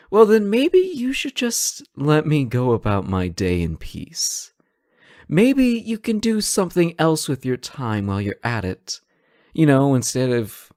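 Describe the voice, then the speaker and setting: A man's voice: on the high side, darkish tone, no room sound, studio quality, full character voice. Guy acting as a sassy male hostage in a superhero audio roleplay, swoops around a lot, takes his time, teasing. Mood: amused, mocking. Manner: teasing, theatrical, conversational. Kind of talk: scripted roleplay audio drama, one side of a conversation.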